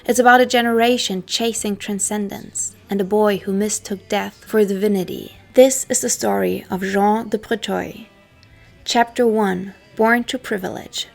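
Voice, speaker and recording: A woman who is not a native English speaker, hosting her own channel and narrating a scripted story in a dramatic, hushed style, her phrases often trailing off into a whisper. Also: medium pitch, vocal fry. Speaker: history channel host.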